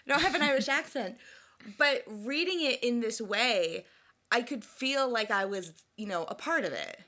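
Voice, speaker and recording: A woman's voice: a little high, lively intonation, moderate pace, pushed and bright. A woman co-hosting a podcast in casual chat, giggly and chatty, often restarting her sentences. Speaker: podcast co-host.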